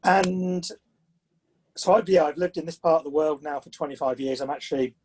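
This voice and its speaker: A man's voice: a little high, moderate pace, a little mellow, a little hiss or hum. A man of sixty from the south of England with a southern English accent, chatting and telling anecdotes as a podcast interview guest. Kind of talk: conversational interview storytelling.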